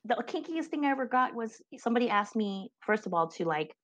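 A woman's voice: on the high side, sing-song, brisk. A woman comedian telling blunt stories as a podcast guest, giggling between lines. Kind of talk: candid comedic chat.

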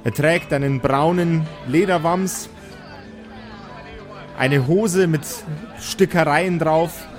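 A man narrating an improvised tabletop role-playing game as game master, haltingly and searching for words. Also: a little high, some chatter behind. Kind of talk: improvised pen-and-paper narration. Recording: music playing behind the voice. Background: background music.

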